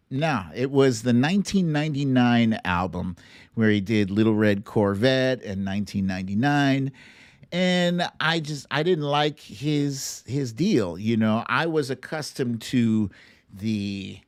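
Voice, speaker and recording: A middle-aged man with an American accent hosts a casual show, speaking at an unhurried pace. He lands short phrases with emphasis and deliberate pauses, moving through opinion and storytelling. The sound is dry, with little room on it. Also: medium pitch, dry recording. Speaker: show host. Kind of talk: casual monologue, commentary and storytelling on a livestream.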